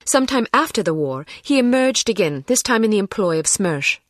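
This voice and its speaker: A woman plays a young British secret agent in a spy game. Her voice is medium in pitch, articulate and tart, with a determined edge. It is recorded close, with no room sound. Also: sing-song, fairly quick, no room sound, natural voice. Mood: matter-of-fact briefing. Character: determined young female British spy, sharp-tongued, impatient. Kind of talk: video game voice acting.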